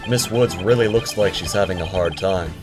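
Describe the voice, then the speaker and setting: A man's voice: deep voice, moderate pace, lightly acted. A man playing an earnest, composed defense attorney with a polite, assured manner in a video game fandub. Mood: reassuring. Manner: polite, assured, occasionally exasperated. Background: prominent game music.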